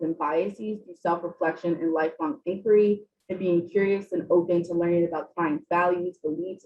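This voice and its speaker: Low-voiced woman co-presenting a webinar. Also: a little mellow, lo-fi sound, slight background noise. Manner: thoughtful, reads definitions clearly. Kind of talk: presenting an online training webinar.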